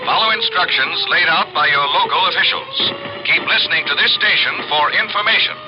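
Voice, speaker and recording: An American actor playing a composed but urgent civil defense announcer, giving clipped, authoritative instructions in the manner of a 1950s radio bulletin. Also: male voice, fairly high pitch, fairly quick, natural voice. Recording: dull vintage radio recording with loud music.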